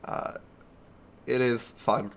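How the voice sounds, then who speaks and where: Nasal, stuffy-nosed young man. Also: extremely slow. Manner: rambling, self-mocking, chuckles often. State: congested with a cold. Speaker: video game streamer. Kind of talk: casual gameplay commentary.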